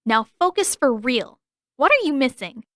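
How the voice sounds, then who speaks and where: A woman voicing a brash, impatient and scornful young tomboy girl in a story reading, with a loud, taunting delivery. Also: sits high, very animated, measured pace, dry recording, clean studio sound, voice pushed up. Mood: demanding. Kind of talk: character voice in an audiobook-style fan fiction reading.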